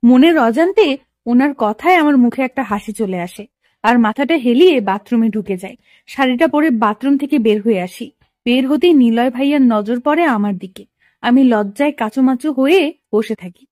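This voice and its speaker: A woman acts a shy young woman in love in an audio romance. Her voice is high and dry. She sounds bashful and emotional as she speaks and narrates. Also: animated, normal speed, a little mellow, dry recording, close to an everyday voice. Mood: shy, bemused. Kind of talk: dramatized first-person love story narration.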